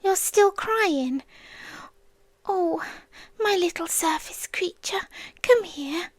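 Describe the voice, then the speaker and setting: Casting: woman as possessive yandere water demon, British, ASMR roleplay. Extremely slow, soft whispery coaxing, tender yet threatening, very close and dry. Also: very high voice, lively intonation, no echo, exaggerated voice. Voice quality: soft, breathy, often whispered. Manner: slow, coaxing, sweetly menacing with long pauses. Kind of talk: ASMR roleplay, soft intimate delivery often dropping to a whisper.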